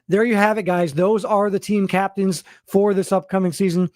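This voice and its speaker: A man hosting as a sports team general manager, announcing to followers and stressing names and stats with deliberate emphasis. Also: high voice, steady tone, darkish tone, dry recording, clean studio sound.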